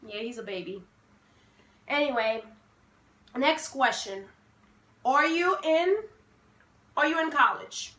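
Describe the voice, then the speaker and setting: A young woman from Haiti with a high, bright voice chats at an easy, unhurried pace. She is playful and self-assured and laughs often. The room adds a noticeable echo. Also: animated. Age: 20s. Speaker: vlogger host. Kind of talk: casual sibling Q&A chat.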